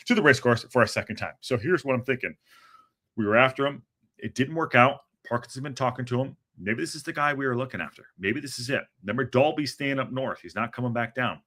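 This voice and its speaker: A man hosting a football podcast, talking in an animated, rambling way with a lot of restarts. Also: on the low side. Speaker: podcast host. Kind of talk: casual chat.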